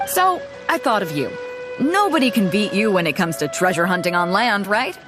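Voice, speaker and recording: A woman's voice: medium pitch, very animated, normal speed, lightly acted. A woman voicing a confident female ship captain who talks in a breezy, commanding way. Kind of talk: game voice acting. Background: game music.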